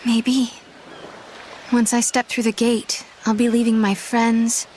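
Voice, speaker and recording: An actress playing an earnest young heroine in a fantasy video game cutscene. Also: female voice, a little high, little pitch movement, slow pace, a little mellow, slight background noise. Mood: earnest, wondering. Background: game music.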